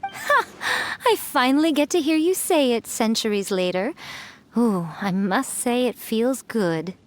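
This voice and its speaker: A woman's voice: on the high side, very animated, measured pace, a little hiss or hum. A woman voicing a sly, centuries-old fox yokai shrine maiden in a video game, with a playful, smug, teasing manner.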